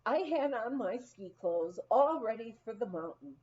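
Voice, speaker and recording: A woman reading a humorous memoir aloud as an expressive, animated storyteller, acting out the dialogue. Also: a little high, unhurried, dull audio. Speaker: audiobook reader.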